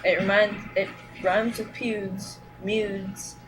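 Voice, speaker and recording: A woman's voice: medium pitch, level tone, slow, background noise. A young woman chatting crudely and jokily with a friend while playing a game. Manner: crude, joking, rambly. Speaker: gamer. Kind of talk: casual gameplay chat.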